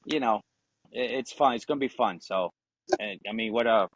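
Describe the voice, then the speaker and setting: A man's voice: lowish voice, normal speed, dry recording, rough recording. A man, telling his story casually and informally as a guest. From Ecuador. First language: Spanish. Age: 40s. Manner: informal, frequent "you know" and "obviously", swearing. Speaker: podcast guest, Marine veteran.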